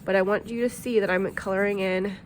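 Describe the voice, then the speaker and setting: A woman's voice: medium pitch, fairly even intonation, a little fast. Woman art teacher walking kids thru a drawing, chatty, lots of ums, kinda sniffly, noisy room. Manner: friendly, chatty, with frequent ums. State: sniffly from allergies. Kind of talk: classroom art tutorial.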